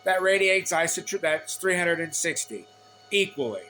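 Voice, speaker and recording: High, bright-voiced man explaining over background hum. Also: expressive. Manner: halting, repetitive, reads in short phrases. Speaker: explainer of radio antenna measurements. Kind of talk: informal explainer reading from notes.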